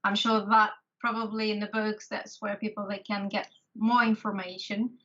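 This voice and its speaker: A Portuguese woman with an accent, hosting a video call interview in English, talking haltingly with many restarts. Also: medium pitch, little pitch movement, fairly bright tone. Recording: lo-fi call audio.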